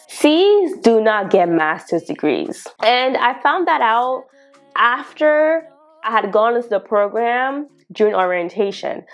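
A young woman in her twenties with a Ghanaian accent, telling a story to camera in a casual vlog, animated and quick to laugh. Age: 20s. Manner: animated and expressive, laughing often, exclaiming in sudden high bursts. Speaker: vlogger. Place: small bedroom studio indoors. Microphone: lapel mic.

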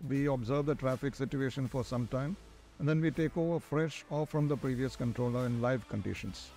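A man's voice: mid-range voice, fairly quick, warm tone. Middle-aged Indian man giving prepared answers. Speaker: guest, air traffic controller in charge. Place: large glass-walled airport tower room. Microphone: lapel. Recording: music under the voice. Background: background music.

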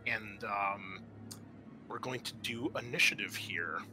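A man's voice: lowish voice, takes it slowly, pushed and bright, slight background noise. A man acting as game master, explaining and narrating a horror roleplaying game in a casual, sweary way.

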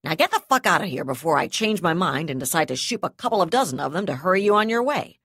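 A woman reading the lines of a ruthless, foul-mouthed female brigadier in an audiobook, snarling and sneering loudly. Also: on the low side, animated, normal speed, dry recording, studio quality. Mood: snarling threats. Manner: snarling, sneering, loud threats.

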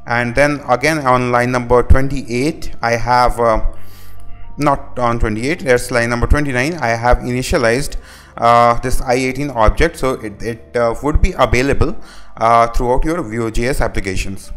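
A man from India whose first language is Hindi, talking through a coding tutorial over his screen and filling his sentences with pauses and restarts. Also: medium pitch, a bit bright, soft background music. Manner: halting, many "uh" fillers and restarts. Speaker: web developer.

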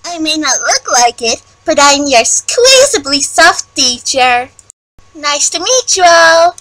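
A woman's voice: sits high, sing-song, takes it slowly, a bit bright, no echo, exaggerated voice. A woman voicing a cheerful, cute stuffed rabbit teacher with magical powers in a game fan dub, sweet and presentational.

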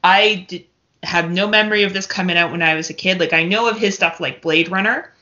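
Lady on a podcast, lowish punchy bright voice, candid and sweary, just chatting. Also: fairly quick. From Canada. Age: 30s or older. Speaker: podcast guest. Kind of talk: casual chat. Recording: dull, lo-fi podcast audio.

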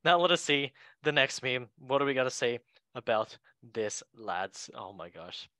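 A man hosting a casual sports chat show in a jokey, excitable way, speaking English as a second language. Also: medium pitch, normal speed, no room sound. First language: not English. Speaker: show host.

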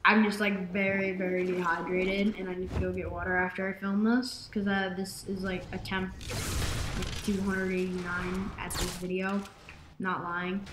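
A young woman giving casual gameplay commentary, rambling with many fillers, her voice slightly raspy from dehydration. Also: fairly low pitch, moderate pace, reverberant, noisy background. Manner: rambling, filler-heavy, excitable. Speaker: gaming YouTuber.

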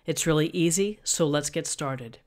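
Middle-aged woman, thin voice, calm step-by-step explaining, desk mic, tutorial host. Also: low-pitched, normal speed. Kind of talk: screen-recorded software tutorial. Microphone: USB desk microphone.

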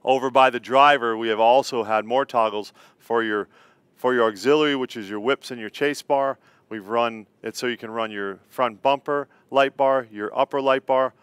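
A middle-aged man with an American accent gives a plain-spoken product tutorial. His voice is smooth and clean, recorded dry and close in a studio. He lays out each step in order. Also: medium pitch, fairly bright tone, no echo. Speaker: company co-founder presenting product tutorial. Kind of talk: instructional product walkthrough. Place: indoor studio set.

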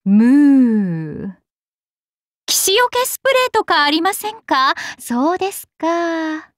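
A prim young female attendant from a game. Her high voice is ever polite, with deadpan, sardonic barbs, recorded close and dry. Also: slow, no room sound, full character voice. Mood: dry, weary. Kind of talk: game character acting.

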